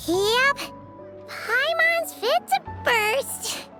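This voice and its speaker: A woman voicing a tiny, bubbly childlike fairy companion with a squeaky voice in a video game. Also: lively intonation, drawn-out words, full character voice. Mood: gleeful. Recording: music behind the voice.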